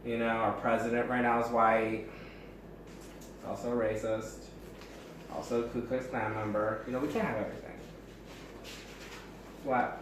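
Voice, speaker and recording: A man's voice: mid-range voice, little pitch movement, moderate pace, long pauses. A man co-hosting a casual home book club video with his sister, joking crudely and swearing often. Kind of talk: casual sibling banter. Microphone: camera mic at a distance. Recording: echoey room, distant mic, faint noise.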